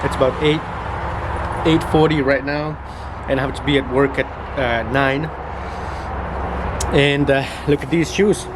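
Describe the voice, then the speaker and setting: A young man from Guatemala, who grew up speaking Mam, talks through his day as a mobile mechanic in a chatty vlog, halting often with fillers and restarts. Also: medium pitch, fairly even intonation. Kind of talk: casual vlog narration. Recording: outdoor street noise behind.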